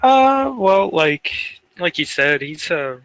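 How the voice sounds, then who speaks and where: A man's voice: high voice, sing-song, slow, a bit bright. A man co-hosting a podcast in casual, conversational chat. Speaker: podcast co-host.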